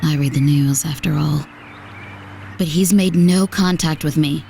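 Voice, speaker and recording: A woman's voice: low-pitched, steady tone, measured pace, creaky, dark tone, slight background noise. A woman playing a guarded, weary former lover in a comic dub, curt and defensive.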